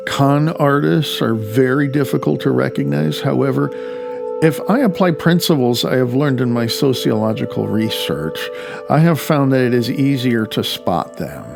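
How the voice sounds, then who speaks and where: A man reading his own nonfiction audiobook as its author, with deliberate phrasing and dramatic pauses. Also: medium pitch, vocal fry. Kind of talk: audiobook narration.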